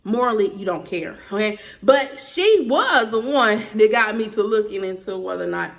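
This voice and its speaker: Fast-talking Southern woman vlogger. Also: mid-range voice, lively intonation, vocal fry. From Southern United States. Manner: fast, emphatic talk with repeated "okay" and restarts. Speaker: YouTuber. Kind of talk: heated casual commentary to viewers.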